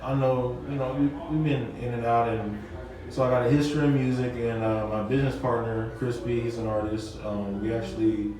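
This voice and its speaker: Male guest, low-ish, laid-back; crowd noise and distant mic. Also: fairly even intonation, a little fast, very echoey. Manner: laid-back, repeats "you know what I'm saying". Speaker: interview guest, music producer. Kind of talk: casual interview. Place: loud party venue.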